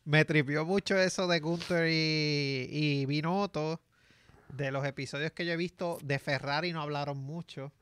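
Man, relaxed casual talk, clear voice, dry sound. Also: a little high, measured pace, no room sound. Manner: relaxed, hesitant fillers. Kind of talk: casual chat.

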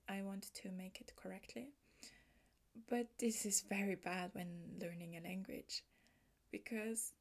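A woman from Switzerland with a Swiss German accent, a native Swiss German speaker, hosting a podcast in a casual solo talk, soft and often half-whispered, thoughtful with small restarts. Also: medium pitch, vocal fry. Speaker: podcast host.

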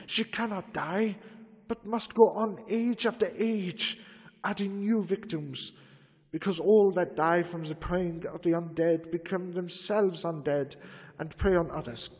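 An actor playing a stern elderly doctor who hunts vampires, speaking in a grave, urgent, lecturing manner. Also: male voice, on the high side, breathy edge, long reverb tail. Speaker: radio drama actor. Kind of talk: old-time radio drama acting.

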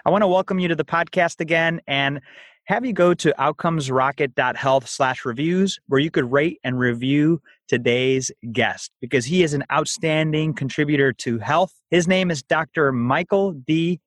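A man's voice: on the high side, moderate pace, a bit bright, dry recording, clean studio sound. A man hosting a health podcast, reading a promo and introducing a guest in an upbeat, promotional way. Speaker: podcast host.

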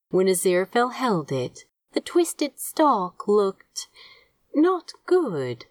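A woman reading a story aloud as an audiobook narrator, measured and expressive in her scripted delivery. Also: mid-range voice, dry recording, studio quality. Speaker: fan fiction audiobook narrator.

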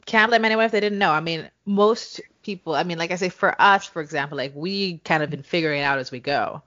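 Brief: thirties woman, podcast co-host, very low voice, frank and laughing, dull lo-fi audio. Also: quick pace, a bit bright, no room sound. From Jamaica. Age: 30s. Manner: candid, laughing, frequent swearing. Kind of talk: casual chat.

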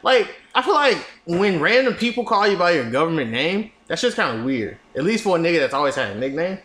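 Young guy cohosting a podcast, loose and jokey, cussing a lot, bright voice, echoey room. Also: a little high, big pitch swings, fairly quick. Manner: loose, joking, profane, laughs often. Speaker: podcast co-host. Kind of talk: casual chat.

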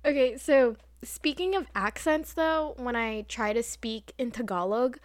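A woman's voice: a little high, a little slow, no echo, studio quality. A young American woman with an American accent, chatting casually and poking fun at herself. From United States. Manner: chatty, self-deprecating. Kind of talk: casual chat.

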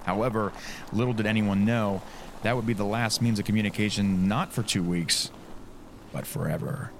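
A man's voice: fairly low pitch, fairly even intonation, normal speed, a little hiss or hum. A man co-hosting a casual podcast, joking and swearing, then reading a mystery story in a dramatic tone. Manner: jokey, swears, drops into dramatic narration. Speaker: podcast co-host telling the case. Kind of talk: casual chat, reading a scripted story opening.

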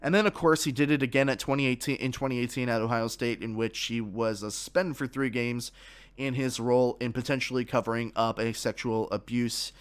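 A man's voice: medium pitch, steady tone, a little fast, smooth. A man hosting his own sports podcast, giving opinions with many fillers and restarts, a little congested from a frog in his throat.

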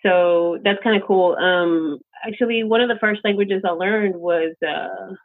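Halting man on a tinny line. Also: high voice. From United States, childhood in Colombia. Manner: rambling, halting, many fillers. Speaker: podcast host. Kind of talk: casual podcast chat.

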